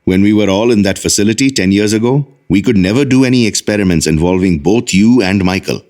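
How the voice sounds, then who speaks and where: An actor playing a serious, welcoming doctor who acts as a mentor in an audio drama. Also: male voice, lowish voice, quick pace, darkish tone, natural voice. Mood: serious, encouraging.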